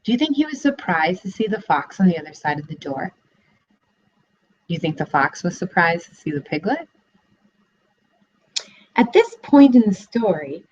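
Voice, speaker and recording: A young woman teacher giving a read-aloud lesson for children, asking questions in an expressive way, recorded on a laptop webcam in a living room. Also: mid-range voice, slight background noise. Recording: dull lo-fi webcam audio.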